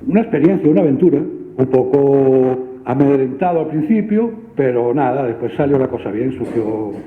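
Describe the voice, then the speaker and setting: A man giving a reflective, somewhat halting personal testimonial. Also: medium pitch, expressive. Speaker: community radio participant. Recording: phone-like, echoey playback.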